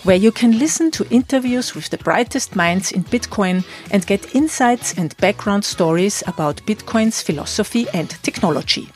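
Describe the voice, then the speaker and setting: A woman's voice: medium pitch, animated, moderate pace, few pauses. A woman hosting an interview podcast, presenting introductions and asking questions in a prepared, orderly way.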